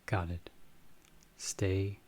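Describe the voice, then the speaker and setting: A man playing a calm, guarded magic-wielding investigator in an ASMR audio roleplay, speaking in a measured, patient, instructive way with pauses. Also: low-pitched, heavily acted. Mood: wary, serious.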